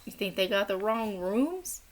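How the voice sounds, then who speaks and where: A woman reading a bilingual children's picture book aloud as a teacher, in a deliberate, expressive way. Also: mid-range voice. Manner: deliberate, expressive, acts out short quotes; encouraging asides and questions to young listeners.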